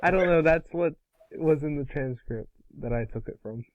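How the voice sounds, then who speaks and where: A man's voice: a little high, normal speed, no echo, lo-fi sound. A man chatting casually as a participant in a table read. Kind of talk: casual chat.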